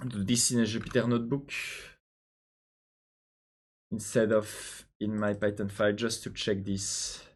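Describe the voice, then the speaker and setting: A young man from Belgium with a French accent, thinking out loud while coding, hesitant with many pauses and restarts. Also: medium pitch. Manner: hesitant, many ums and restarts, answers chat questions. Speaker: programmer live-streaming his coding.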